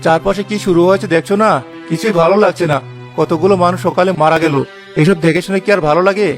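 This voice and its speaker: A man's voice: sits high, fairly even intonation, quick pace, soft background music, close to an everyday voice. A man voicing a good-hearted, brave village husband in a cartoon. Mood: troubled, grumbling. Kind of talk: Bengali cartoon voice acting.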